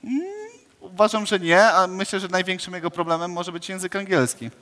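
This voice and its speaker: A young man with a Polish accent on a headset mic, answering questions in measured, explanatory sentences. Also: high voice, a bit bright, in a large hall. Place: indoor studio stage.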